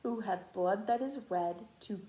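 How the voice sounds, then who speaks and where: A woman's voice: mid-range voice, unhurried, mellow, reverberant, natural voice. A woman voicing a tiny, desperate male mayor in a storybook, urgent and declamatory. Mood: rousing, urgent.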